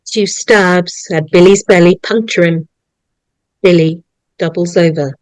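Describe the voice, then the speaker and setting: A woman's voice: fairly low pitch, fairly even intonation, unhurried, darkish tone, no echo, rough recording. A woman narrator reading stage directions aloud at a dramatic script table read.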